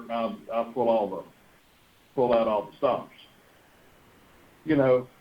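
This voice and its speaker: A man hosting a conference call, talking in an earnest, folksy, conversational way and often rephrasing himself for emphasis. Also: medium pitch. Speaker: host of a conference call, pastor. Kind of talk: earnest conversational monologue and storytelling. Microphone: telephone. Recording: dull, lo-fi conference phone call.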